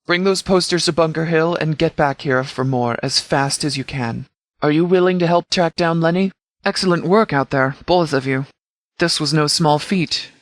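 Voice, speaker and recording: A stern wasteland sheriff voiced by a male actor for a video game. His voice sits a little high for a man, and he speaks formally and with measured authority. The sound is very dry, with no room around it. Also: a bit bright, no room sound, natural voice. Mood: brisk, commanding. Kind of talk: scripted video game character dialogue.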